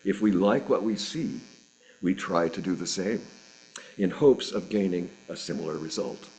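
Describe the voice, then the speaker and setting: A man, middle-aged or older, reading a sermon aloud from a script with measured, deliberate phrasing and rhetorical pauses. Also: low voice, gravelly, darkish tone, rough recording. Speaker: reader of a sermon at a church service.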